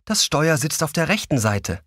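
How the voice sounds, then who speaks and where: A man's voice: on the high side, big pitch swings, normal speed, a bit bright, no echo, studio quality. A man narrating a scripted story for children as the narrator.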